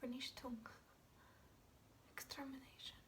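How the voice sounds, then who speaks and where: A woman acting a guilt-ridden, fragile Polish woman in a dramatic monologue, with a Polish accent and halting, stammering speech that often drops to whispers. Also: sits high, steady tone, vocal fry, distant mic, slight background noise, heavily acted. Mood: haunted. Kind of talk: dramatic film monologue, amateur.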